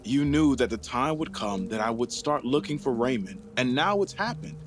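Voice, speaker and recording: An actor playing an eager, idealistic grown son in an audio drama, speaking earnestly as a young adult. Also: male voice, medium pitch, expressive, moderate pace, soft background music, lightly acted. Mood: determined, passionate.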